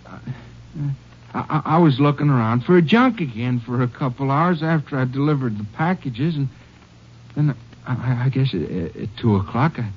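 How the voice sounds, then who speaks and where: A man's voice: mid-range voice, expressive, moderate pace, slightly rough. An actor playing a desperate, impoverished father who stammers and pleads his innocence in an old-time radio drama. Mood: anxious, halting. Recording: muffled vintage radio recording.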